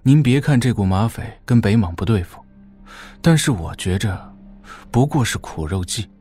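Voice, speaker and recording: A man's voice: lowish voice, animated, normal speed, dark tone, faint music behind, natural voice. An actor playing a shrewd, obsequious warlord who reports deferentially and colloquially to his overlord in an audio drama. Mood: shrewd, informative.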